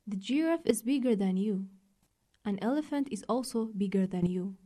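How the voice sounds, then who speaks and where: A woman's voice: mid-range voice, lively intonation, moderate pace, warm tone, a little hiss or hum, natural voice. A woman voicing a playful, teasing cat in a children's story, coaxing and cheeky.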